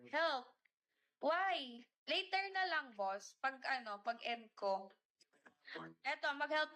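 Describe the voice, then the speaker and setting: Young woman, high voice, bright tone, chatty, mixes Tagalog and English, greets viewers by name. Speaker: livestreamer. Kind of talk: casual live-stream chat.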